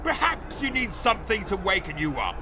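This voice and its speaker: High-pitched, gloating fight-pit master. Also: male voice, wildly swooping pitch, over music, exaggerated voice. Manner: sneering, theatrical, drawn-out taunting. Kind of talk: dramatic video game voice acting.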